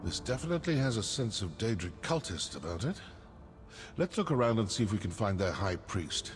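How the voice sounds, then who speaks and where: An actor playing a sly, wry assassin in a video game, talking in a theatrical yet conversational way. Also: male voice, fairly low pitch, big pitch swings, fairly quick. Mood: wry, menacing. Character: sly, wry male Dark Brotherhood assassin, menacing yet curious. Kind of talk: video game character dialogue. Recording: long reverberant hall, music behind.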